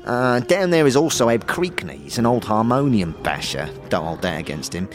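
A man's voice: medium pitch, very animated, moderate pace, close to an everyday voice. A man voicing a chatty, slangy tavern keeper in a fantasy audio drama, with stammering asides. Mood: confiding, chatty.

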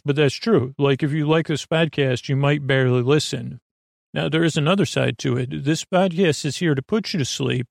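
A man hosting a sleep podcast in a rambling monologue, meandering and halting, circling back and trailing off mid-thought. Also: a little high, vocal fry, no echo, clean studio sound. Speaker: podcast host.

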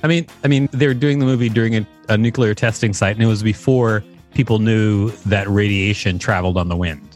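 A man's voice: lowish voice, fairly even intonation, fairly quick, hardly any pauses, darkish tone, soft background music. A man co-hosting a podcast, reading an article aloud and joking between passages. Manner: joking, laughs often. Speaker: podcast co-host. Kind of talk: reading aloud and casual chat.

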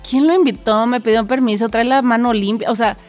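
A Mexican woman co-hosting a casual podcast, throwing in quick joking asides. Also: fairly high pitch, fairly even intonation, darkish tone. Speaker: podcast co-host. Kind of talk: casual chat.

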